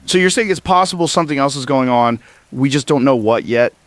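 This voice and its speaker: A man's voice: medium pitch, fairly quick, fairly bright tone, no echo, natural voice. A man playing a curious, conversational podcast host on a casual late-night show in an audio drama. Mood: inquisitive. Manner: conversational, inquisitive. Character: curious, open-minded male podcast host, everyman questioner.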